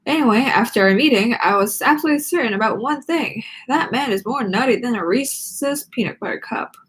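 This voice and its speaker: A woman's voice: on the high side, animated, fairly quick, rough recording. A woman hosting a read-along, reading a children's book aloud with expression.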